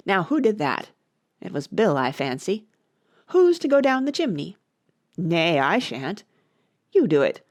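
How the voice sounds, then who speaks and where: A woman's voice: lowish voice, sing-song, unhurried, long pauses. A woman voicing rustic male animal workers in a storybook, calling out and bickering. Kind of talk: storybook character voices.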